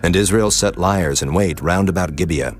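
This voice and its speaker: Deep-voiced solemn scripture narrator. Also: male voice. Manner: measured, solemn reading. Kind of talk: scripted Bible reading. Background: music.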